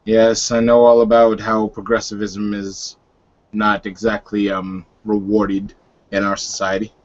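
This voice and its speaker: A man playing a weary, coolly menacing male werewolf leader who talks in a halting, guarded, dry way. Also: lowish voice, completely flat delivery, highs cut off, lightly acted.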